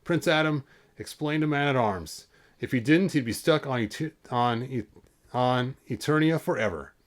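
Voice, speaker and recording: A man with a mid-pitched voice reads a children's storybook aloud for online viewers. He is informal and loose, tripping on words now and then and stepping out of the text for offhand asides. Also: moderate pace. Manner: informal, stumbles over words and breaks off for asides. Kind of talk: casual livestream storybook read-aloud.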